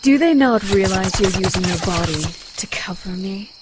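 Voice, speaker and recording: A woman's voice: mid-range voice, animated, moderate pace, noisy background, lightly acted. Woman acting haughty, sardonic warrior woman. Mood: haughty, probing. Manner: haughty, dry, formal. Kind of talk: animated comic adventure acting.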